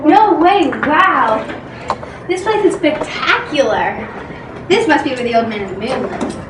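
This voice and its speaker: A woman playing an excitable, earnest young girl adventurer in an amateur readers theatre folktale. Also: sits high, unhurried. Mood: amazed, earnest. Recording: distant mic, echoey room, background noise.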